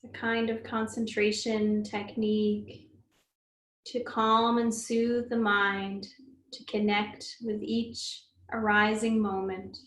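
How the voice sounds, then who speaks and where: A woman leading a guided meditation as a teacher, speaking gently and soothingly, unhurried, with long pauses. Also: medium pitch, little pitch movement. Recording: roomy echo, faint background noise.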